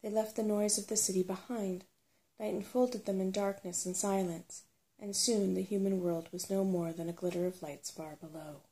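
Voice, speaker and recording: A woman narrating a storybook in ASMR style, soft, hushed and soothing. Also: mid-range voice, fairly even intonation, moderate pace.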